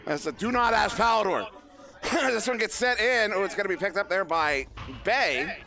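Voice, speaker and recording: Male esports caster, hoarse from a long event, self-deprecating, bright tone. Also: high voice, lively intonation, normal speed. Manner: joking, self-deprecating, rambling with fillers. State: losing his voice. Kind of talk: live play-by-play commentary. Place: live event venue.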